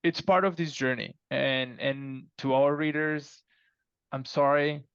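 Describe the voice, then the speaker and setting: A man with a creaky, gravelly voice at medium pitch talks casually as a podcast guest. The recording is dry and a little dull. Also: fairly even intonation, takes it slowly, a bit bright, no room sound. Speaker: fiction author, podcast guest. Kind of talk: casual interview chat. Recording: slightly dull, lo-fi audio.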